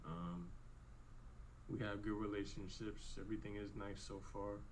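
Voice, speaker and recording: Soft-spoken man in his twenties. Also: lowish voice, level tone, poor audio. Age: 20s. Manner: halting, restarts with fillers like "like" and "um". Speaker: vlogger in clip. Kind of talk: reflective casual monologue. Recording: faint background noise.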